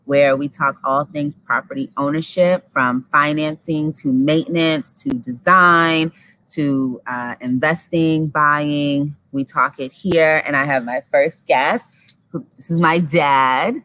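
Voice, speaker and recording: A young adult woman hosting a casual interview in a backyard, speaking in an upbeat, quick way. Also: sits low, steady tone, fairly bright tone, rough recording. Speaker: podcast host. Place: backyard outdoors.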